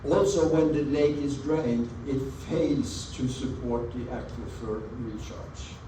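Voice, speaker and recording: A man from Norway with a Norwegian accent, giving an earnest, measured presentation over slides at a community meeting and stressing key words. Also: mid-range voice. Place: meeting room with an audience. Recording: echoey meeting room, mic at a distance, audible background noise.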